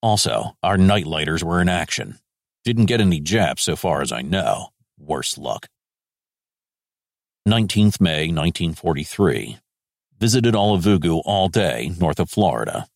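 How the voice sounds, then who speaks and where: A man's voice: sits low, expressive, measured pace, creaky, no room sound, studio quality, full character voice. A man playing an American major general of the Second World War, reading his war diary in clipped, terse phrases. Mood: satisfied, wry, then matter-of-fact.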